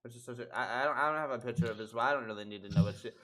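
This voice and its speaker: A man with a bright, punchy voice at a medium pitch hosts a podcast. He talks casually through a news story, swearing freely and leaning into his words with indignant emphasis. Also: racing. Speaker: podcast host.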